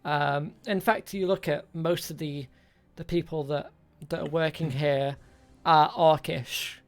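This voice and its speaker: Slow, creaky-voiced male game master. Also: fairly high pitch, fairly even intonation. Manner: hesitant, thinking aloud. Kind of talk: improvised tabletop game narration.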